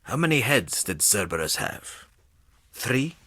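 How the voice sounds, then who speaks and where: An actor playing a menacing, brooding villain in a video game, with a rough voice and a deliberate, ominous delivery. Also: male voice, mid-range voice, big pitch swings, pushed and bright, no echo, studio quality, full character voice. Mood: brooding menace. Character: menacing caged male villain, brooding and predatory.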